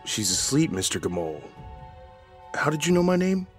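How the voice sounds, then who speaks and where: An actor playing a wary, irritable ordinary husband with a guarded, terse delivery in a horror audio drama. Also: male voice, fairly high pitch, sing-song, normal speed, soft background music.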